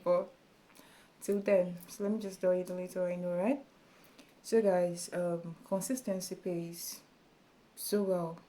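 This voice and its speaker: A woman with a small, soft voice speaks slowly to her camera, chatty and encouraging. Her tone is mellow and warm, and her casual delivery is sprinkled with fillers. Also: lowish voice. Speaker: YouTube tutorial creator. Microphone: camera in front of her.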